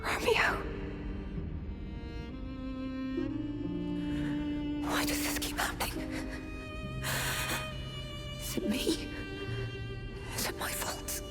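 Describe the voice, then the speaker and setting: An actress playing a determined, proud woman warrior with a solemn, measured delivery in a video game cutscene. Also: loud music behind the voice, natural voice. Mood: anguished, breathy grief. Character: determined, grieving woman stalker, grave and proud.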